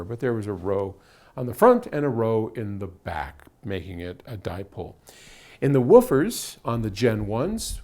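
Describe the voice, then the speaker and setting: A man's voice: medium pitch, darkish tone. An older American man talking casually as a host answering viewer questions, explaining technical detail step by step in a conversational way.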